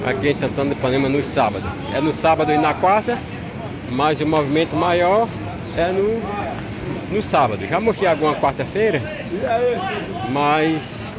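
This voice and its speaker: A man with a Northeastern Brazilian accent narrating a relaxed walking tour of a street market, chatting with vendors. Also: fairly high pitch, noisy background. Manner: chatty, greets vendors warmly, relaxed unhurried commentary. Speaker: vlogger touring a street market. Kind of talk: casual walking vlog narration. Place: busy outdoor street market. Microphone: handheld camera mic while walking. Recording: crowded outdoor street market. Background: market crowd chatter.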